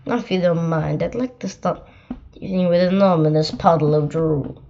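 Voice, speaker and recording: A woman's voice: fairly low pitch, fairly even intonation, moderate pace, gravelly, warm tone, lo-fi sound. A woman voicing a boy's own male monster in a storybook, fond and teasingly menacing. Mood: teasing menace.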